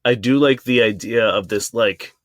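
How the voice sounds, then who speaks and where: A man's voice: medium pitch, normal speed, no room sound. A young man co-hosting a podcast in casual banter, wandering through his stories with plenty of likes and uhs. His mid-range voice sounds dry and close, with no room around it. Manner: rambling, filler-heavy storytelling. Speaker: podcast co-host. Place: small closet.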